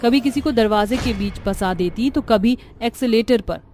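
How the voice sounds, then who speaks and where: A woman narrating a scripted cartoon horror story in a steady, dramatic storytelling manner. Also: on the high side, moderate pace, a little hiss or hum.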